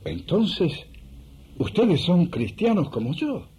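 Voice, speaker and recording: An actor playing an earnest, inquiring apostle in a radio drama, with a husky voice and grave manner. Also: male voice, sits high, wildly swooping pitch, slow, warm tone, exaggerated voice.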